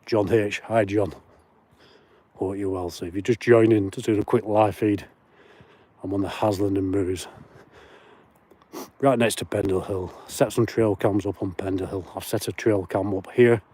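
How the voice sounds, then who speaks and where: Man, broad Lancashire accent, low mellow voice, chatty livestream host, outdoors on the moors, phone mic. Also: steady tone, moderate pace, long pauses, breathy edge. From Lancashire, England. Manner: chatty, reads viewer comments aloud, greets viewers by name. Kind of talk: casual livestream chat while walking. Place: outdoors on moorland at night.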